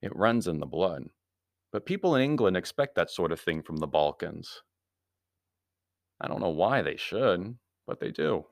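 Urbane, quizzical gentleman hero, acted by a male reader: animated intonation, mock-polite manner, storybook style. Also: deep voice, normal speed, creaky, dry recording, studio quality, exaggerated voice. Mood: soothing, wry. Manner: polite, dryly ironic. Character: Anthony, lean adventurer, urbane, quizzical, gently mocking gentleman.

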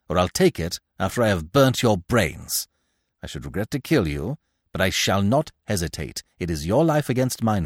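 A man voicing a cool, resolute gentleman fugitive with a quiet, formal and deliberate way of speaking in an audiobook story. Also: lowish voice, no room sound, clean studio sound.

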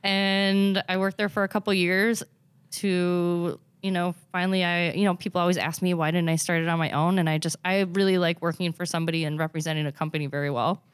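A young woman with a Minnesotan accent talks casually as an interview guest, her voice sitting a bit low for a woman. She rambles and restarts, leaning on fillers like "um" and "you know." The sound is dry and close, with no room around it. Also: steady tone, a little fast, clear, resonant tone, no room sound. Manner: rambling, hesitant, with many fillers and self-restarts. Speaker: car detailing business owner, guest.

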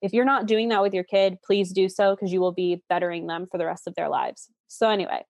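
A woman's voice: lowish voice, steady tone, a little fast, vocal fry, darkish tone, no echo. Young woman explaining things with candid enthusiasm, dry sound. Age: late 20s. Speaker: guest expert explaining relationship psychology. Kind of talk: animated conversational explanation.

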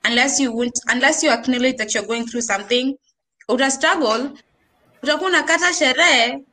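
Bright-voiced young Kenyan woman. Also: high-pitched, brisk. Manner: animated, mixing English and Swahili slang. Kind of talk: casual podcast chat.

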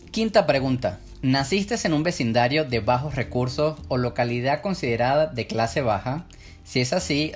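Venezuelan man hosting a solo podcast. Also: mid-range voice. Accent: Venezuelan Spanish. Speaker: podcast host.

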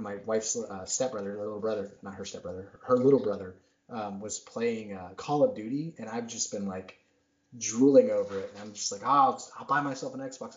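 A man's voice: lowish voice, a little mellow. A man in his thirties hosting a podcast, talking in a rambling, self-deprecating way full of fillers. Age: 30s. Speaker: podcast host. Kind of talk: casual chat. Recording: roomy, dull lo-fi sound.

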